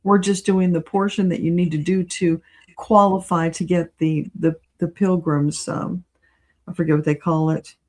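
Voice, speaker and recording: A woman talks as an interview guest in an easygoing, conversational way. Her voice sits a little low for a woman and has a very soft, mellow tone. She sounds like someone chatting informally. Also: level tone, moderate pace. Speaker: guest, spiritual channeler and reader.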